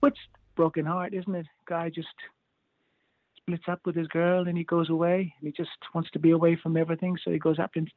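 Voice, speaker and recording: A male musician chatting as a guest in a relaxed, rambling way, with frequent chuckles. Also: a little high, fairly quick, dry recording. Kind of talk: casual interview chat. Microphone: phone line. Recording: tinny dry phone line.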